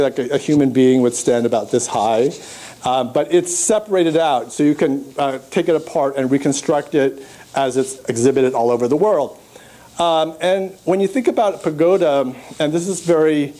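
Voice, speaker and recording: A man born in Burma presents at an academic conference, his voice mellow and a little high for a man. He talks through his slides in a halting way, with frequent uh and um pauses. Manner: halting, many uh and um fillers, pointing to slides. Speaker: art historian giving a conference talk. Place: lecture room at a conference.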